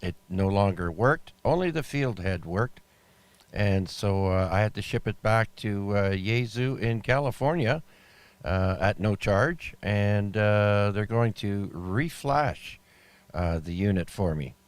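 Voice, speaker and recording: A man acting as net controller on an amateur radio net, talking in a relaxed, halting way with many fillers. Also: lowish voice, no room sound. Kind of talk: ham radio net chatter. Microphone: radio transceiver mic. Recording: noisy shortwave radio audio.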